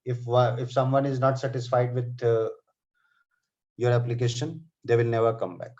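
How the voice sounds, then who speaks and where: A man leads a live online class, addressing students by name and checking in with "okay" and "right". His voice sits at an ordinary middle pitch. The call audio is slightly dull and muffled. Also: flat delivery, moderate pace, a little mellow. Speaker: software testing trainer. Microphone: computer mic on a video call.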